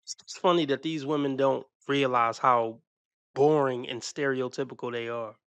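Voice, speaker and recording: A man's voice: medium pitch, steady tone, normal speed, fairly bright tone, smooth, no room sound. A man giving blunt, mocking reaction commentary on video clips.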